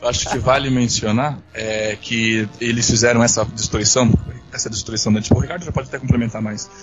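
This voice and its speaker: Fast-talking Brazilian man, lo-fi sound. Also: mid-range voice, steady tone. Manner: explanatory, informal. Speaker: podcast co-host. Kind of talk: casual chat, explaining. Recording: dull lo-fi audio, music underneath. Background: background music.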